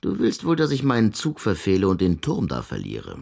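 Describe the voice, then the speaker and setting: An actor playing a mocking, aloof friend in a radio drama, speaking with detached irony. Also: male voice, low voice, wildly swooping pitch, normal speed, darkish tone, heavily acted. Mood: amused, aloof. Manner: ironic, detached. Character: mocking, aloof male friend over a chess game. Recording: clear background noise.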